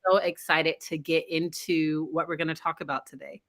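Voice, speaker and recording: A woman's voice: sits low, steady tone, moderate pace. A woman hosting a live interview show as a speech pathologist, talking with enthusiasm about her guest. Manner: warmly enthusiastic, praising guest. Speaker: host, speech-language pathologist.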